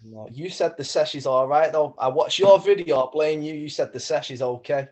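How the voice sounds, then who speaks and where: A man's voice: a little high, moderate pace, darkish tone. A man with a North West English accent chatting casually as a guest, matey and slangy, often restarting his sentences. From Manchester area, England. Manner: matey, slangy, stumbling over starts. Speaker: guest caller. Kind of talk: casual chat.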